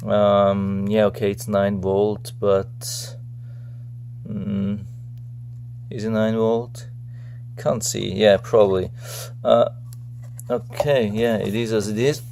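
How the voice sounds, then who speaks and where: A man's voice: lowish voice, level tone, slow pace, gravelly, pauses often, darkish tone, a little hiss or hum. A man giving a casual, unscripted product unboxing commentary, thinking aloud in a hesitant, rambling way. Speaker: product reviewer.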